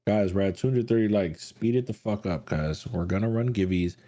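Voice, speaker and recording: A man's voice: lowish voice, brisk, mellow. A man hosting a live trading stream, brash and crude, hyping the chat between trades. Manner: crude, brash hype talk. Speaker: host.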